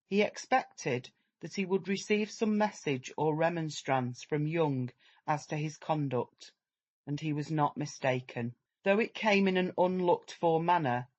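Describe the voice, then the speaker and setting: A man narrating a literary story as a measured, dramatic audiobook reading. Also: a little high, muffled recording.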